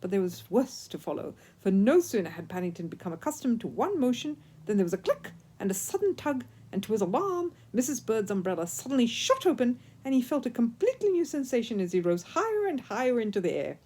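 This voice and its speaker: A woman reading a children's story aloud as an expressive narrator. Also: a little high, brisk, few pauses, husky. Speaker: storyteller, audiobook reader.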